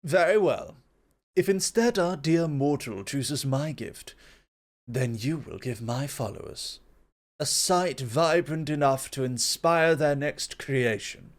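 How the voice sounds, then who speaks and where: A man voicing a proud, composed god of creation in an ASMR roleplay, speaking in a measured, formal, self-assured way. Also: fairly high pitch. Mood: intrigued, assured.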